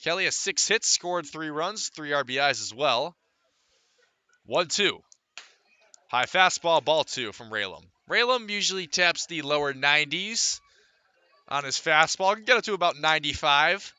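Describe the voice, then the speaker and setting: A man doing live radio baseball commentary in a very bright, forceful voice, pitched slightly high. He delivers stats in short clipped phrases and fills out the action in descriptive sentences. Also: lively intonation, unhurried, clear, resonant tone, no room sound. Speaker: baseball play-by-play announcer.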